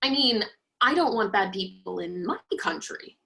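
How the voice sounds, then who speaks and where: A woman reading the part of a chatty, naive young college roommate in a play, expressive and opinionated. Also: medium pitch, moderate pace, vocal fry. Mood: defensive, naive. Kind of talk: virtual play reading, naturalistic dialogue.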